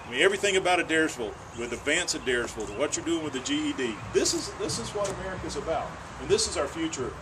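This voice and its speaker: A man's voice: fairly high pitch, expressive, quick pace, hardly any pauses, background noise. A man, a state senator giving an earnest town hall speech and answering questions, often repeating words as he restarts.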